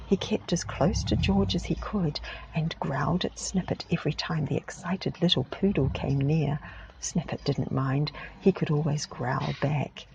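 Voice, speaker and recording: Low soft-spoken woman whispering a children's story, gravelly fry, close mic. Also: fairly even intonation, measured pace, muffled recording, slight background noise. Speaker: ASMR reader. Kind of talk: whispered ASMR storybook reading.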